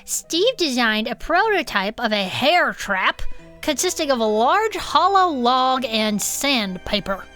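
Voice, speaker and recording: High-pitched, animated woman cheerful archivist. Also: takes it slowly, soft background music, full character voice. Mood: storytelling. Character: cheerful, patient female cryptid club archivist, fond of odd lore. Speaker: podcast co-host. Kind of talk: improvised comedic audio fiction banter.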